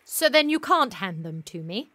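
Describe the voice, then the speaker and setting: An actress playing a wise, wry, playful woman who gives gentle counsel in a period audio drama. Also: medium pitch, very animated, normal speed, no echo.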